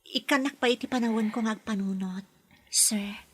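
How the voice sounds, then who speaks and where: A woman's voice: fairly high pitch, normal speed, breathy edge. A woman playing a modest, devoted nanny being courted in an Ilocano radio drama. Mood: hesitant.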